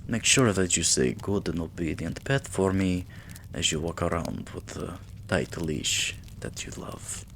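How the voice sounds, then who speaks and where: Deep, slow, gravelly vampire king acted by a man. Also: animated, a bit bright, a little hiss or hum, exaggerated voice. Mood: pleased, teasing. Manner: slow, lordly, drawn-out phrasing with sighs and murmurs. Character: regal, possessive, doting male vampire king, weary. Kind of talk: roleplay ASMR, intimate acted monologue.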